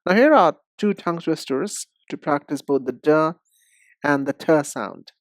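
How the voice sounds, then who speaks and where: Male language tutor for lesson narration. Slow, deliberate delivery, expressive mid-range pitch, addresses the learner directly. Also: no room sound, studio quality. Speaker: English pronunciation teacher. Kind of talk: instructional lesson.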